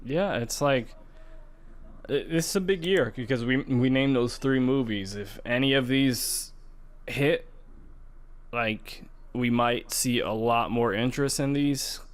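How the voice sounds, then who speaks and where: A man's voice: mid-range voice, takes it slowly, clean tone. A man co-hosting a podcast in casual, conversational gaming chat. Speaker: podcast co-host.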